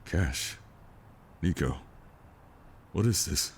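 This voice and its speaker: A man acting a stoic, weary operative and father in an audio drama, speaking in a measured, resigned way. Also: extremely low pitch, animated, husky, full character voice. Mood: surprised.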